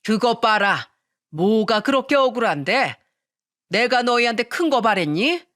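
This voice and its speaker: A woman's voice: fairly high pitch, a little slow, sharp tone. Woman acting a stern, scolding old mother-in-law. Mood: angry scolding. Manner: firm, reproachful, blunt.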